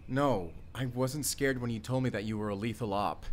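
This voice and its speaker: A man's voice: medium pitch, moderate pace, slight background noise, close to an everyday voice. A man playing a hurt, pleading, lovelorn young man in an audio roleplay. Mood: upset, pleading.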